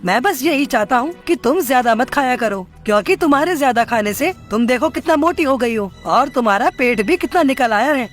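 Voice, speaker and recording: A woman voicing an annoyed, worried male crow husband in a cartoon story, scolding and insistent. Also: high-pitched. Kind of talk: animated moral story dialogue. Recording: music bed behind the voice.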